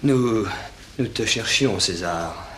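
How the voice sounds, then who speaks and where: Timid, flustered Roman steward, faint noise. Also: male voice, fairly low pitch, expressive, slow. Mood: nervous, deferential. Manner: hesitant, deferential, flustered. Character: timid, obsequious male Roman palace steward. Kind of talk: mid-century French radio theatre acting. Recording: old radio recording, faint noise.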